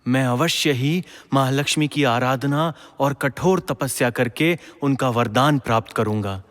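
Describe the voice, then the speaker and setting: Man playing slow-speaking, devout young king. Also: medium pitch, a bit bright, close to an everyday voice. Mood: reverent, earnest. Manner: reverent, formal, earnest. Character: devout, humble, earnest young king of legend. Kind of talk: devotional mythological audio drama acting. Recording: long reverberant hall echo.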